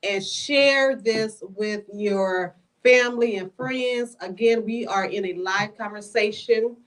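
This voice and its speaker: A woman's voice: medium pitch, takes it slowly, poor audio. A woman hosting a live-streamed author talk in a bookshop in front of a small audience. She is upbeat and chatty, laughs often, and speaks into a handheld mic. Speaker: bookshop event host. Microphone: handheld microphone.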